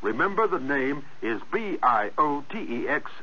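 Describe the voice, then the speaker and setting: Lively male vintage radio ad announcer. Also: a little high, normal speed, noisy background. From South Africa. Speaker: advertising announcer. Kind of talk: 1960s radio commercial. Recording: muffled old radio recording.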